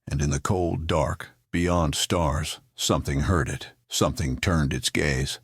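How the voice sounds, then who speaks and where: A man acting as the grave narrator of a sci-fi audio drama, gravelly and husky, with a solemn, portentous delivery. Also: a bass voice, fairly even intonation, unhurried, dry recording, exaggerated voice. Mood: solemn, ominous.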